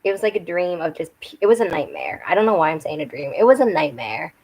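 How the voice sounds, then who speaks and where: A young woman with a slightly hoarse voice, chatting casually and rambling a little on a home live stream while doing her makeup. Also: low-pitched, big pitch swings, quick pace, a little mellow. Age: young adult. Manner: rambling, chatty, with restarts and self-corrections. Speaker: book and makeup channel host. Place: home room with bookshelves. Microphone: webcam.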